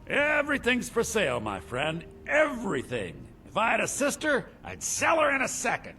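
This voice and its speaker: An actor playing an eager, oily, greedy shopkeeper in a fantasy video game, with theatrical, ingratiating sales patter. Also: male voice, sits high, wildly swooping pitch, normal speed, bright, forceful tone, a little hiss or hum, full character voice. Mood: eager, fawning. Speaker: general store merchant.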